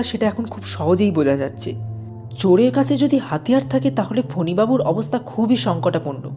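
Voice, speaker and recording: A man with a soft, mellow tone narrates a dramatic audio story. He tells it expressively and builds suspense, with music playing underneath. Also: high voice. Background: background music.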